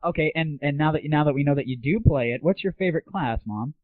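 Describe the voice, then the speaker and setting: A man's voice: a little high, fast, dry recording. A young man hosting a casual gaming audio show, chatting and asking questions as an interviewer. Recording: tinny, phone-like, band-limited 1990s internet audio.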